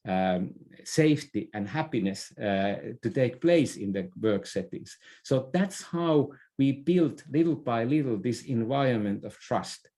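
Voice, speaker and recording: Male podcast guest, soft-edged dark timbre, conversational expert explaining. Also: medium pitch. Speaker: interview guest, leadership expert.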